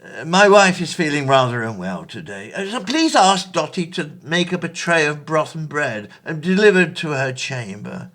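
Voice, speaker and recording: An actor playing a benevolent, earnest 1820s gentleman in a period audio play, with formal, courteous old-fashioned diction. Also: a little high, big pitch swings, moderate pace, few pauses.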